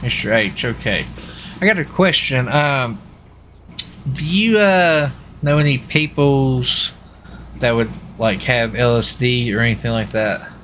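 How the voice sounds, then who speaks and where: A man with a medium-pitched voice improvises as a police officer in an online game. He questions people casually and haltingly, rambling a little. Faint ambient noise sits behind him. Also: lively intonation, fairly bright tone. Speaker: streamer playing a police marshal in roleplay.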